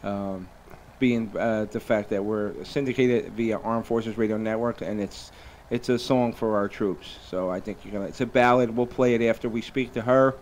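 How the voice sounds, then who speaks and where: A man's voice: on the low side, steady tone, moderate pace, few pauses, a little hiss or hum. Rambling New radio host, man. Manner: rambling, filler-heavy, upbeat plugs. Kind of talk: casual radio chat with sponsor reads.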